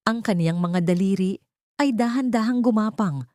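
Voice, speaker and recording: A woman's voice: mid-range voice, normal speed, warm tone, dry recording, clean studio sound. A woman narrating a dramatic scripted story in a solemn, suspenseful way.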